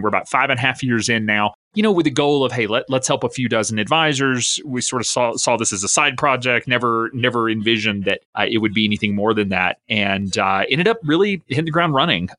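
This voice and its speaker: A man's voice: mid-range voice, fairly quick, hardly any pauses, pushed and bright, dry recording. A man in his thirties from the American South with a faint Southern accent, a guest telling his career story energetically and laughing easily. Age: 30s. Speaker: guest, company founder. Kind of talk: interview storytelling.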